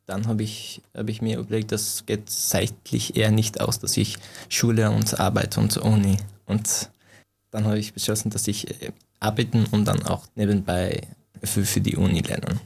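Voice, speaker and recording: A young man from Iran with a Farsi accent, his first language, speaking German haltingly with many restarts in an interview. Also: lowish voice, flat delivery, vocal fry, darkish tone, breathy edge. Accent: Iranian, speaking German as a learned language. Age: young adult. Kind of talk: personal interview.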